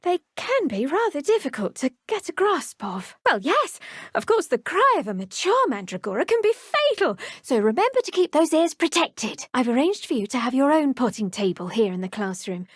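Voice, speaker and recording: A kindly young English witch, a herbology teacher in a fantasy game. Her voice is high and lilting, with lively swoops in pitch and a soft breathiness. She speaks gently and politely, in a nurturing, cheerful way, recorded close and dry. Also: normal speed, dry recording. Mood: cheerful, instructive. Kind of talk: video game character dialogue.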